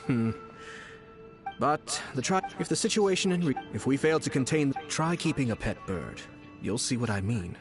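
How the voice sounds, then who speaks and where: An actor playing a poised, shrewd young nobleman in a video game, polite and measured with quiet menace. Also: mid-range voice, lively intonation, natural voice. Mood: cool, veiled warning. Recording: music behind the voice.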